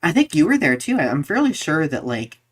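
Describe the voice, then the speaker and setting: Animated young podcast co-host telling stories. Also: mid-range voice, a little fast, crowd noise. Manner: animated retelling with quoted reactions. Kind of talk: casual conversational storytelling.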